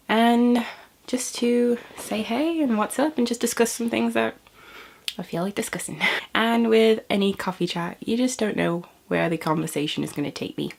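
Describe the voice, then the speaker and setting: A woman's voice: mid-range voice, gravelly. Chatty British woman with a stuffy cold. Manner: chatty, rambling, laughs often. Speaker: vlogger. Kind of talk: casual chat to camera.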